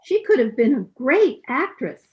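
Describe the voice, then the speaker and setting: Heard over dull video-call audio, a gushing society lady, played by a woman swoops around in a high voice. The delivery is starstruck 1940s radio comedy. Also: big pitch swings, normal speed, breathy edge, heavily acted.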